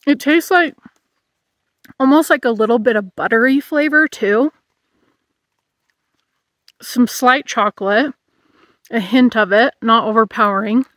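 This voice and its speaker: A woman's voice: on the high side, unhurried, pauses often, a bit bright, no room sound, studio quality. A woman in her thirties reviewing camping gear in a casual vlog, musing aloud while she eats, recorded outdoors in a meadow.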